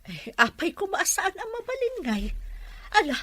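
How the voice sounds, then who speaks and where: A woman's voice: extremely high pitch, huge pitch swings, normal speed, bright, forceful tone, dry recording, heavily acted. A woman playing a concerned, welcoming older village mother in an audio drama, homely in manner. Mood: welcoming, surprised. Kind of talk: Ilocano audio drama acting.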